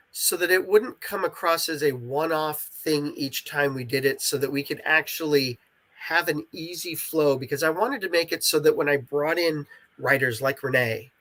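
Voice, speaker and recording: A man's voice: a little high, a bit bright. A talkative man explaining his creative work in a casual interview, stressing points by repeating them. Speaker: interviewed writer and creator of interactive audio dramas.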